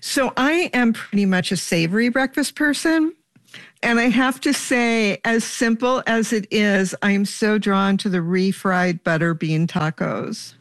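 A woman interviewing a chef, asking measured questions with the odd filler um. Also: mid-range voice, no echo.

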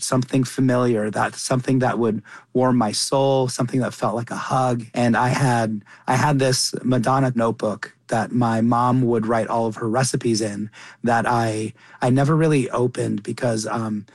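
A man's voice: mid-range voice, no room sound. A man from Qatar chatting casually as an interview guest, earnest and often rephrasing himself as he goes. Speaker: interview guest, chef and cookbook author.

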